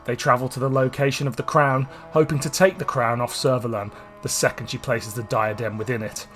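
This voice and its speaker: A man's voice: medium pitch, fairly even intonation, a little fast, fairly bright tone, soft background music. A man hosting a podcast, moving between scripted narration and casual chat. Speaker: podcast host.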